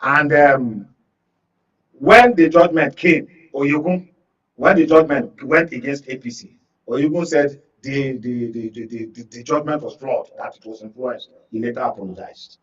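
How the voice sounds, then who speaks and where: A man's voice: medium pitch, unhurried, a bit bright. A Nigerian man speaking as a political analyst in a discussion, emphatic and often checking that listeners follow. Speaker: guest political analyst on a talk show. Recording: muffled, distant-sounding studio talk.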